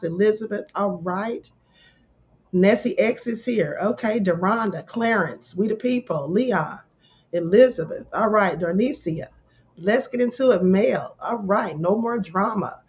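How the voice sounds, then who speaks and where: A woman hosts a casual live stream, her voice a little hoarse. She reels off viewers' names in a relaxed, chatty way. The audio is dull and slightly lo-fi. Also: medium pitch. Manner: greets chat viewers by name. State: hoarse, sore throat. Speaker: livestream host. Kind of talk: casual livestream chat.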